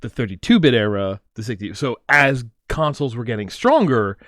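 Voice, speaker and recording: A man hosting a casual livestream, talking and explaining things in a rambling, animated way. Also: medium pitch, brisk, dry recording, studio quality. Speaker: livestream host. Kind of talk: casual livestream chat with his mother.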